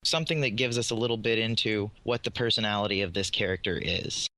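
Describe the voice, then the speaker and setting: Male panelist, bright forward voice, casual and explanatory. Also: lowish voice, fast talker. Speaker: podcast panelist.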